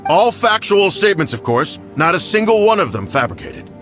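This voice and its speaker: Blustering old schemer, swooping pitch. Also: male voice, big pitch swings, moderate pace, fairly bright tone, faint music behind. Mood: indignant. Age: older. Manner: blustering, indignant, fawning to superiors. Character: scheming, self-serving aging clan lord, obsequious, blustering. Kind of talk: dramatic video game voice acting.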